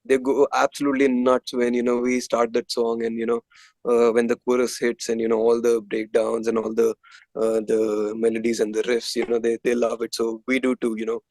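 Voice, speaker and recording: A man's voice: medium pitch, completely flat delivery, fairly quick, a little mellow, dry recording. A man with an Indian accent answering candidly as an interview guest, conversational and emphatic, with frequent filler phrases. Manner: emphatic, leans on filler phrases like "you know".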